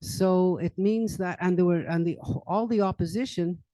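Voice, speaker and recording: An older man speaks in a loose, rambling lecture manner, full of fillers and restarts. His voice sits a little high and sounds mellow. The sound is dry and close. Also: normal speed, no room sound. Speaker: lecturer on current events. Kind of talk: informal public library talk. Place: library meeting room.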